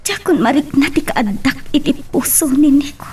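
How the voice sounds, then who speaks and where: A young wife with a high voice, long-suffering and hurt as acted by a woman. The delivery is melodramatic Ilocano radio drama. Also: animated, moderate pace, darkish tone. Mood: wounded, pleading.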